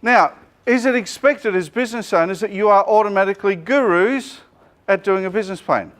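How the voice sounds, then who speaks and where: A middle-aged Australian man, a business coach, running a joking, energetic seminar and quizzing his audience, speaking without a microphone to the room. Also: high-pitched, a little fast. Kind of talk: lively workshop presentation to a live room.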